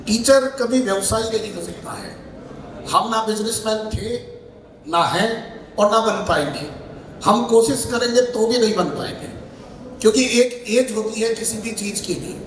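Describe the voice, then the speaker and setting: A hoarse man speaks in a heartfelt, reflective way to a crowd at a public event. He is heard from a distance in an echoing hall. Faint noise runs underneath him. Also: high voice. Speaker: teacher at coaching institute. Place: large hall.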